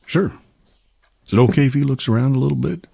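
A man playing a gruff but polite middle-aged police detective in a video game, with a husky voice. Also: medium pitch, fast, warm tone. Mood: businesslike, polite. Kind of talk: video game cutscene acting.

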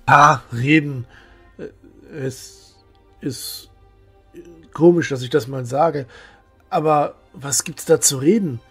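A devout young fantasy hero, acted by a man, torn between righteousness and doubt. He speaks slowly and haltingly, his voice a little husky, with faint music underneath. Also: on the high side, fairly bright tone, exaggerated voice. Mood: incredulous. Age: young adult. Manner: hesitant, halting, solemn. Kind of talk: fan-made fantasy audio drama acting.

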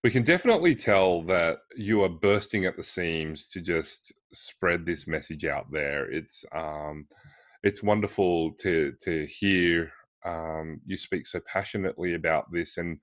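A man's voice: sits low, no echo, studio quality. A middle-aged man hosting an interview over a video call, talking in a hesitant way with many fillers. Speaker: podcast host. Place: indoor room.

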